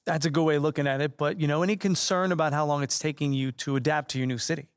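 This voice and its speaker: A man's voice: on the high side, steady tone, rapid-fire, no echo, clean studio sound, natural voice. A man playing a mild, anxious sports reporter with an American accent, talking in a video game cutscene. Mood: professional, interested. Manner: nervous, mild, accommodating. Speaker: sports interviewer.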